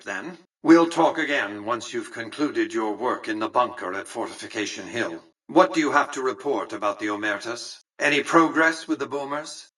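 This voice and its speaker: An actor playing a calculating, imperious casino tycoon in a video game, speaking formally and precisely with condescension. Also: male voice, mid-range voice, bright, forceful tone, breathy edge, distant mic, low-quality recording. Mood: impatient, condescending. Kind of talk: scripted video game dialogue.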